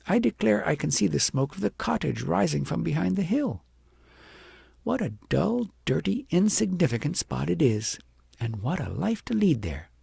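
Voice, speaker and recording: A man's voice: fairly high pitch, sing-song, moderate pace, vocal fry, a little mellow, slightly rough, no room sound, clean studio sound, voice pushed up, full character voice. A man voicing a vain, scheming, self-pitying young shepherd girl in a haughty, dramatic storybook manner. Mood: disdainful. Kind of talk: acted audiobook storytelling.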